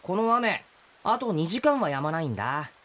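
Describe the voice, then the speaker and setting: A friendly young boy in a radio play, voiced by a man, pitched high. He talks bluntly and casually, pushing his point with easy insistence. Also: big pitch swings, normal speed, a bit bright. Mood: friendly, insistent.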